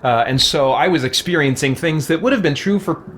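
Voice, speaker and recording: A man's voice: on the high side, a little fast, fairly bright tone, slight background noise. A man chatting casually on a livestream, answering viewers in a hesitant way and searching for words. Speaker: streamer playing a game and answering viewer comments. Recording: music playing behind voice. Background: faint music.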